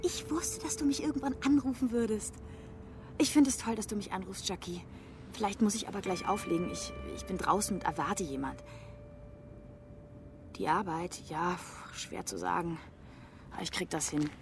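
An actress playing a flustered streetwalker who speaks in a halting, distracted way, in a German radio drama. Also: female voice, medium pitch, gravelly, music in the background, lightly acted. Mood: flustered, hushed. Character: flustered female streetwalker, hesitant, putting on brightness.

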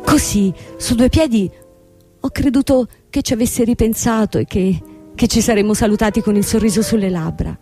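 An actress playing a young, proud, ruined company boss in a theatrical radio drama, speaking formally and emphatically with rhetorical questions. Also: female voice, on the low side, mellow, lightly acted. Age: young adult. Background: music.

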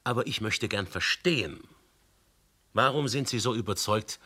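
An actor playing a calm, probing police commissioner in a radio play. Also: male voice, on the low side, moderate pace, husky. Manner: probing, dry questioning.

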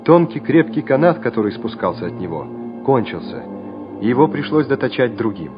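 A man narrating a wartime radio drama in a measured, solemn, scripted way. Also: mid-range voice, big pitch swings, lightly acted. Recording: dull old radio recording with music.

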